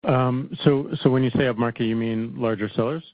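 A man speaking as a company executive on an earnings call, answering off the cuff in halting phrases with repeated words. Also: medium pitch, steady tone, no room sound. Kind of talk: earnings call remarks, unscripted. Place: conference phone call. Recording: narrow, muffled phone line, dry.

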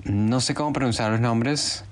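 A man's voice: mid-range voice, a little slow. A man hosting a podcast, speaking Spanish as his native language, talking to listeners in an earnest, encouraging and personal way. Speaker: podcast host. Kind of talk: casual podcast monologue.

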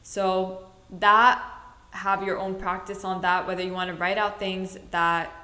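A woman's voice: medium pitch, level tone, unhurried, sharp tone. A woman from the Middle East hosting a casual solo podcast, chatty and rambling, often correcting herself mid-sentence. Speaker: podcast host. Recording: roomy echo.

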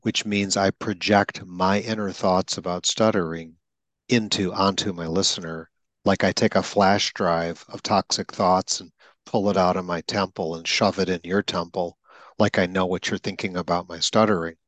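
A man's voice: deep voice, fairly even intonation, moderate pace, a bit bright, no room sound. A man interviewing a guest about stuttering, speaking emphatically and acting out example lines. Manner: emphatic, gives vivid examples and acts out lines. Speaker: interviewer, speech coach who stutters. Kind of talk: coaching conversation.

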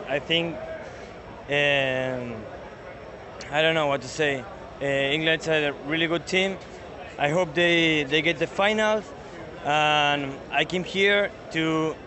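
Slow-talking Argentinian man in a crowd. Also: fairly high pitch. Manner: halting, searches for words. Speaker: rugby fan interviewed. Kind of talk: hesitant street interview. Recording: loud crowd outdoors.

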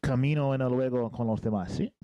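An older man hosting a casual interview, friendly and gently teasing, asking guiding questions. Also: mid-range voice, a little fast, no echo. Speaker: podcast host.

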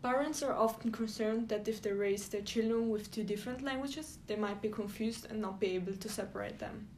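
A young woman from Italy, raised speaking Italian and German, reading prepared podcast notes in a soft, steady way. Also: mid-range voice, a little fast, a little hiss or hum. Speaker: podcast co-host.

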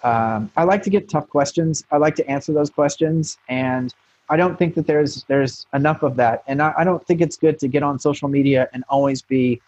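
Husky frank American man on a dull line. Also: a little high, moderate pace, a little mellow, dry recording. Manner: frank, rambling with restarts and fillers. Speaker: guest, marketing consultant. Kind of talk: casual interview talk.

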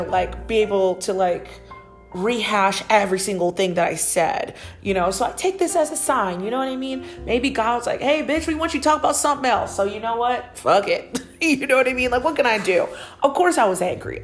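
A woman in her thirties hosting a solo podcast, rambling casually with frequent swearing and laughter, her nose stuffed up and slightly nasal. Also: medium pitch. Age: 30s. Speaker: podcast host and psychic medium.

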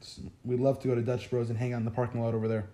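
Mellow-voiced young man, chatty interview answers. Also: mid-range voice, level tone, racing. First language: Arabic. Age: young adult. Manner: chatty, frequent "like" fillers. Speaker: interviewee, college freshman.

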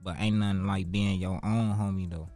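A man's voice: low voice, fairly even intonation, normal speed, dark tone, no echo, faint music behind. A man in his twenties with a Chicago accent, talking casually as an interview guest in street slang, with profanity and emphatic repetition. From Chicago, United States. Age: 20s.

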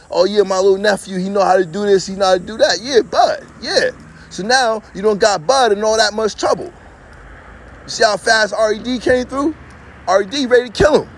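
A man with a high voice rambles on in a streetwise, boastful way, looping back over the same phrases. He is out in his yard talking into a phone, with a vehicle heard now and then. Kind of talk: rambling monologue to camera.

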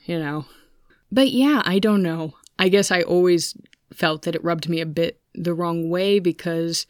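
A woman's voice: fairly low pitch, no echo, clean studio sound. A woman in her thirties with an American accent, telling personal stories in a candid, introspective, conversational way. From United States. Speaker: podcast host. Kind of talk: reflective personal storytelling.

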